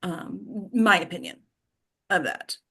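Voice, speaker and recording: Bright-voiced woman leading a casual discussion. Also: lowish voice, lively intonation, slow, creaky, husky. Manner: hesitant, self-correcting, informal. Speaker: host and director of a table-read discussion. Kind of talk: casual discussion, thinking aloud.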